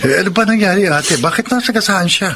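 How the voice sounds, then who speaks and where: A man's voice: high-pitched, fast talker, sharp tone, a little hiss or hum. A man with a Filipino accent acting as a supportive husband and father in a radio drama. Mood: concerned.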